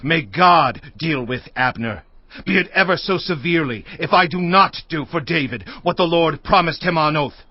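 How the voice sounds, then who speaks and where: An actor playing a proud, forceful commander of ancient Israel in a dramatized audio Bible, declamatory and indignant. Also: male voice, a little high, normal speed, no room sound, close to an everyday voice. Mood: indignant anger. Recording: dull lo-fi audio with music behind. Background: faint music.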